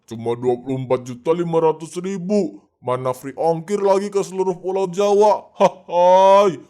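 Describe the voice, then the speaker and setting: A man's voice: high voice, moderate pace, warm tone, smooth, close to an everyday voice. An actor playing a boastful middle-aged village man in a cartoon, cocky and given to chuckling. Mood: gleeful.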